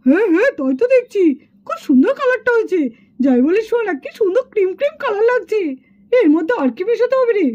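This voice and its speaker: A woman's voice: very high voice, expressive, quick pace, darkish tone. A woman voicing a fretful, chatty young schoolchild doll in a children's puppet cartoon. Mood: eager. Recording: dull lo-fi sound.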